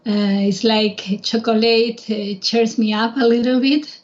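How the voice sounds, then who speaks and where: A woman's voice: fairly high pitch, steady tone, measured pace, lo-fi sound. A woman from Spain with a Spanish accent, a guest on a travel podcast, telling stories in casual conversation with hesitant fillers.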